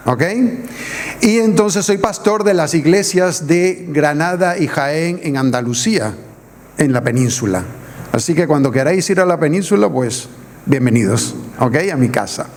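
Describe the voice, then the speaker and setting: A man's voice: on the high side, lively intonation, moderate pace, a little mellow. A middle-aged man from the Canary Islands, raised in Venezuela, preaching an evangelistic sermon as a guest pastor and firing rhetorical questions at the congregation.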